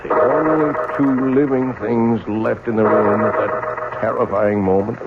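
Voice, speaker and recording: An actor playing a haunted, brooding clockmaker in an old-time radio drama, speaking in a grave, deliberate, melodramatic manner. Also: male voice, medium pitch, fairly even intonation, very noisy, lightly acted. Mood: grave dread. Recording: tinny vintage radio broadcast, music.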